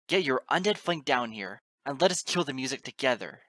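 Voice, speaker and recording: A man voicing a furious, desperate, defiant pony hero in a fantasy story, shouting, snarling and ranting. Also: mid-range voice, big pitch swings, fairly quick, no room sound, clean studio sound, voice pushed up. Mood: defiant, commanding. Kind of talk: dramatic fantasy story reading.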